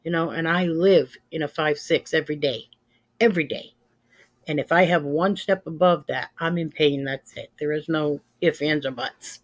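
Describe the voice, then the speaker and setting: A woman's voice: low voice, steady tone, moderate pace. Plain-spoken woman in her fifties. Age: 50s. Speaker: livestream host. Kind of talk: casual chat with live viewers.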